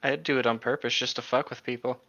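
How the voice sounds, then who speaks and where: A man with a bright, forward voice at an ordinary pitch, bantering casually as a co-host. He sounds flippant, and the recording is a little dull. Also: little pitch movement, fast talker. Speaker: podcast co-host reading and riffing on a novel. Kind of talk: casual banter with read-aloud.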